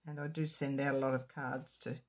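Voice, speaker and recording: A woman with a slightly croaky throat giving a chatty card-making tutorial, drifting into asides while she works. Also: rumbling low, flat delivery, a little fast. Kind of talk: casual craft tutorial. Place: home craft room.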